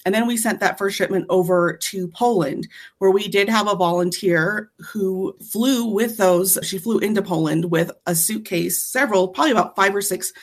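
A woman's voice: medium pitch, normal speed. A woman speaking as an interview guest, explaining volunteer work in an earnest, conversational way.

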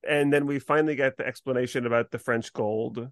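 A man's voice: mid-range voice, steady tone, brisk, no echo, studio quality. A man chatting casually as a podcast co-host, sprinkling his talk with "like". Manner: chatty, frequent "like" and hedging. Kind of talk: casual chat discussing a TV series.

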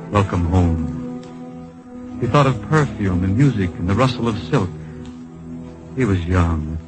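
An actor playing a solemn, compassionate narrator, grave and measured, in a scripted old-time radio drama. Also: male voice, low-pitched, rough recording. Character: solemn storyteller, compassionate and patriotic.

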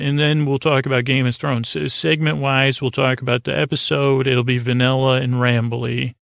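A man hosting a bedtime podcast in a rambling monologue, stammering and derailing himself, with a gravelly, creaky voice. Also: mid-range voice, a bit bright, no room sound, studio quality. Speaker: podcast host.

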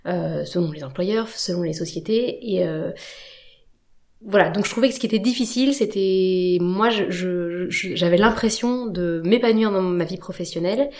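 Woman in her 30s, low-ish voice, hesitant interview guest, many pauses. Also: darkish tone. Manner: hesitant, many fillers and restarts. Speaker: podcast guest. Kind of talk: conversational interview answers.

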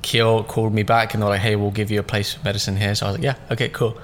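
Young guy, rambling podcast guest chat, a bit low, faint room hum behind. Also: flat delivery, racing. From born in Russia, raised in southeast London. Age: 20s. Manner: loose and rambling, many fillers and "like"s. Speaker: podcast guest, business owner. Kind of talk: casual interview chat.

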